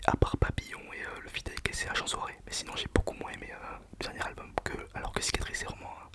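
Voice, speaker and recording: A young man whispering a casual, self-mocking countdown of his favourite songs for his channel. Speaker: channel host. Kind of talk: whispered ASMR music countdown.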